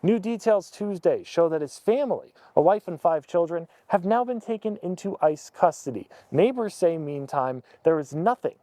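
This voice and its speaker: A man's voice: sits high, lively intonation, moderate pace, few pauses, dark tone, no echo. An American man working as a TV field reporter, delivering a scripted news report. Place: outdoors in a residential neighborhood.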